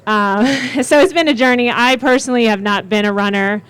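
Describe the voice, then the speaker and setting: A young woman speaking as a panelist, introducing herself in a thoughtful, measured way with frequent fillers. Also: fairly high pitch, clear, resonant tone. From Chicago area. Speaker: panelist, media co-founder.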